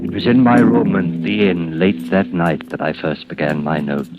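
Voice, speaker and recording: An actor playing an uneasy, earnest police detective in an old suspense radio drama, speaking in a deliberate, formal and dramatic way. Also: male voice, low-pitched, lively intonation. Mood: brooding dread. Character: haunted middle-aged British police detective, earnest and uneasy. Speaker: radio drama lead and narrator. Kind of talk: golden-age suspense radio acting, first-person narration. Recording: muffled old radio recording under loud music.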